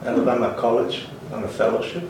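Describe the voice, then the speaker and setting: An older man from Finland who grew up speaking Swedish, Finnish and German, recalling his life in an interview with hesitant, halting speech. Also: medium pitch, fairly even intonation, vocal fry, a little mellow. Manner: hesitant, many ums and restarts, chuckles. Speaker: interviewee, linguist. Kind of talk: oral history interview. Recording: roomy, distant, faint hiss.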